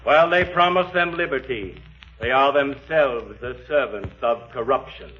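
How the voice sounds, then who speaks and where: An actor playing a solemn, earnest preacher delivering a measured, oratorical sermon in a 1940s radio drama. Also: male voice, mid-range voice, lightly acted. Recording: muffled 1940s radio recording, music behind.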